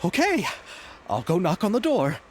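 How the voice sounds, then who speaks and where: An eager film director from a video game, courteous and earnest. His voice sits slightly high and moves very expressively through formal phrasing. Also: huge pitch swings, moderate pace, a little hiss or hum. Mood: willing. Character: polite, eager young male film director.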